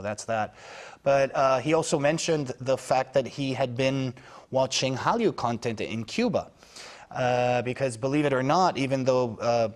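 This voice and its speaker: A man speaking as a foreign news correspondent on a news panel, analytical and halting, with many fillers and restarts. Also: mid-range voice. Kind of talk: unscripted news panel discussion.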